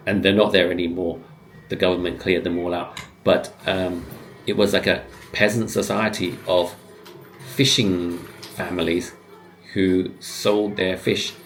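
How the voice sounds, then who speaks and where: A man in his fifties, born in Hong Kong and raised in the West, giving reflective, unscripted commentary in a halting, musing way with pauses mid-sentence. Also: low voice, a little hiss or hum. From born in Hong Kong, grew up in the West, living in the UK. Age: 50s. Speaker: video host giving commentary.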